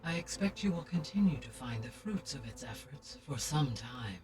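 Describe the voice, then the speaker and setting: A man voicing a calm, formal AI. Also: mid-range voice, natural voice. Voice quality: measured, formal, even delivery. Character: calm artificial intelligence guiding the heroine. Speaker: game character. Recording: slightly distant, under game music.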